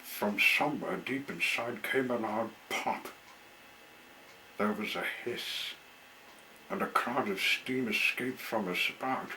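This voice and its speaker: A man tells a children's story aloud at a very leisurely pace. His voice sits a little low. There is clear background noise in the recording. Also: takes it slowly, pushed and bright, low-quality recording.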